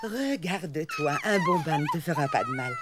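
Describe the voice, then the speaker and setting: A woman's voice: on the low side, huge pitch swings, normal speed, shouting. A woman voicing a fussy, doting elephant grandmother in a cartoon, with a theatrical, exclaiming, scolding manner. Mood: exasperated. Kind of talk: animated cartoon dub acting. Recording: music behind the voice.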